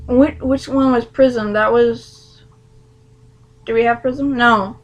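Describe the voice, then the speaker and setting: Slow-talking chatty woman, higher voice. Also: fairly even intonation. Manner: chatty, hesitant, many fillers and restarts. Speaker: co-host reviewing a TV show. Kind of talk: casual chat.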